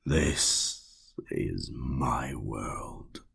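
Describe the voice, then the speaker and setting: Man voicing a slow, gravelly, whispery inhuman god. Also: rumbling low, fairly bright tone, exaggerated voice. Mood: cold, taunting. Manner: slow, drawn-out, mockingly genteel. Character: suave inhuman male god in handsome human form, mocking. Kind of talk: audiobook villain acting.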